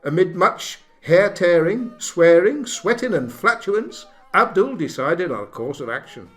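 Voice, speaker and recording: A man's voice: high-pitched, animated, unhurried, a bit bright, faint music behind. A man narrating a comic radio play from a script in a mock-solemn manner.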